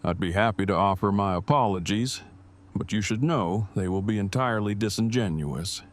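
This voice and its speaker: A man acts out an earnest, devoted boyfriend in a post-apocalyptic audio drama. His voice is on the low side, and he talks in verbose, formal, carefully stilted sentences. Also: moderate pace, close to an everyday voice. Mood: dry, affectionate. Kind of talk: fan audio drama acting.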